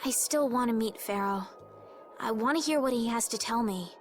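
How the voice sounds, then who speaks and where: Actress as an earnest, polite, higher-voiced young woman. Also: normal speed, close to an everyday voice. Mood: pleading, resolute. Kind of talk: scripted video game voice acting. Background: game music.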